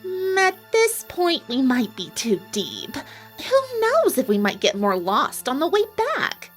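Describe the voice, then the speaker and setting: A sassy cartoon pony mare, acted by a woman, high and very expressive. She drawls slowly and theatrically, wry but fond, in a fantasy story with soft music behind. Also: full character voice. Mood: wearily coaxing. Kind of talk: dramatised fan fiction reading.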